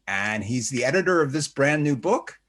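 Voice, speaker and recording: A man's voice: medium pitch, lively intonation, normal speed, a bit bright. A man hosting an interview, asking questions in a conversational, somewhat hesitant way. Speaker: interview host. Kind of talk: podcast interview.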